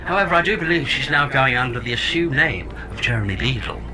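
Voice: mid-range voice, expressive, quick pace, background noise, lightly acted. An actor playing a chatty pod AI that lives in a woman's head, cheerfully wry and theatrical, in a sci-fi audio comedy.

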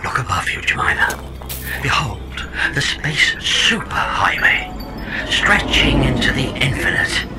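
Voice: medium pitch, sing-song, a little slow, loud music behind the voice. An actor voicing a sardonic, talkative companion who lives in someone's head, theatrical and mock-grand, in an audio comedy drama.

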